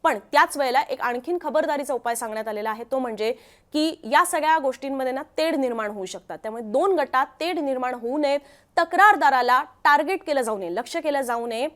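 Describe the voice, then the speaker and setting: High-pitched Maharashtrian woman explaining the news. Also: fairly bright tone. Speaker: news presenter.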